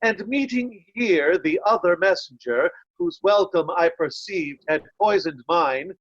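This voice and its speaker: Slow-spoken, weary nobleman played by a man. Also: high-pitched, expressive, dry recording, dull audio. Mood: indignant. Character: weary, loyal, stubborn nobleman in disguise, put in stocks. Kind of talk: stage Shakespeare read-through.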